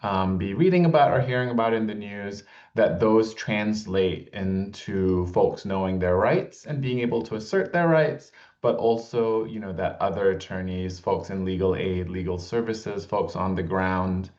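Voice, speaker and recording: A man speaking as a guest attorney, measured and hesitant, with frequent "um"s and "uh"s. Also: lowish voice, a little mellow, highs cut off. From Malaysia. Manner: measured, hesitant, many fillers.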